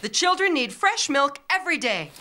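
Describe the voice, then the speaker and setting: A woman's voice: sits high, very animated, normal speed, bright, forceful tone, full character voice. A woman playing a firm, caring mother in her thirties in a cartoon, earnest and emphatic. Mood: businesslike. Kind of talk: animated cartoon voice acting, English dub.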